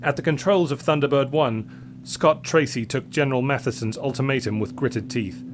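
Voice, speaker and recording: A man's voice: mid-range voice, moderate pace, faint music behind. A man narrating an adventure story in a scripted, dramatic style.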